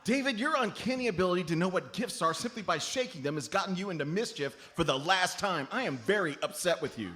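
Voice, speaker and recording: A man's voice: high voice, expressive, fast, hardly any pauses, fairly bright tone. A man playing an earnest, bossy amateur sleuth, stern and fussy, in a live comedy radio play. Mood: exasperated. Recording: noisy live stage recording.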